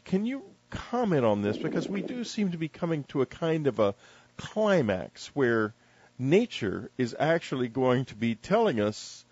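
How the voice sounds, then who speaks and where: Male radio interviewer, average pitch, deliberate literary phrasing with pauses, slightly dull recording. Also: big pitch swings, darkish tone, husky.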